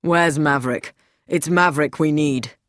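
A woman's voice: rumbling low, takes it slowly, no room sound, studio quality, pitched below the performer's own voice. A woman voicing a curt, authoritative older husband in an audiobook, clipped and dismissive. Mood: irritated.

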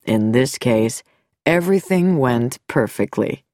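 A woman reading an audiobook voices a smooth, calm, quietly mocking middle-aged male doctor in a lowered voice. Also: slow pace, no room sound, studio quality, exaggerated voice.

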